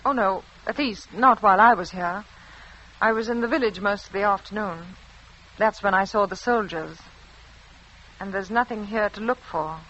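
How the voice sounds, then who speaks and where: An actress playing a frightened, haunted French village wife who tells her story in a hushed, anguished radio-drama style. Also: mid-range voice, moderate pace, lightly acted. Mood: uneasy. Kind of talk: 1940s-50s radio drama acting, confessional narration. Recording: dull old radio recording, music underneath.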